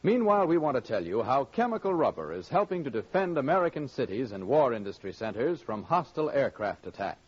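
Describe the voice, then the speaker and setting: This is a dull vintage radio recording of a male announcer. He has a husky voice and lively intonation, delivering scripted 1940s broadcast copy. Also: mid-range voice, a little fast, few pauses.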